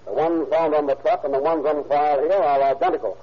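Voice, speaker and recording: An actor playing a police lieutenant pressing a suspect with firm questions, in 1930s radio drama style. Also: male voice, fairly high pitch, steady tone, quick pace, close to an everyday voice. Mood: stern. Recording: dull lo-fi 1930s radio recording.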